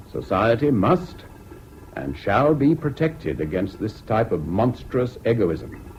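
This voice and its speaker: An actor with a British accent playing a stern, disapproving judge in a 1970s radio drama, formal, grave and emphatic. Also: male voice, mid-range voice, a little mellow. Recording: dull, noisy old radio recording.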